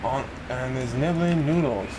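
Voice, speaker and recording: A man's voice: mid-range voice, a little slow, background noise. A man reading a children's storybook aloud in an exaggerated sing-song way for young listeners.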